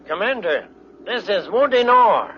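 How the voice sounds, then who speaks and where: High-pitched, sly space villain. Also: male voice, big pitch swings, faint music behind. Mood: gloating, mocking. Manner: mock-polite taunting, drawn-out farewells. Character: gloating male space villain, sly and mocking. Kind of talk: 1950s radio serial acting. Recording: dull old radio recording.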